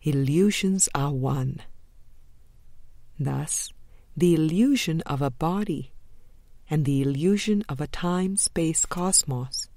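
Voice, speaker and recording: A woman reading a spiritual book aloud in a measured, deliberate way, pausing between short phrases. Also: low voice, dark tone, no echo. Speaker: audiobook reader.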